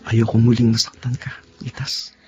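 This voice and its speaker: An actor with a Filipino accent playing a gentle, self-sacrificing young man in a radio drama. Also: mid-range voice, monotone, normal speed, soft background music, close to an everyday voice. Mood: resigned, sad. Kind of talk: Tagalog radio drama acting. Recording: faint background noise.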